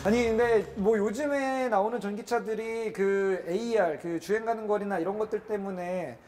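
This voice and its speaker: A man's voice: high voice, normal speed, music in the background. A man presenting a car show and interviewing a guest in a chatty, enthusiastic, admiring way. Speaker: host interviewing an engineer.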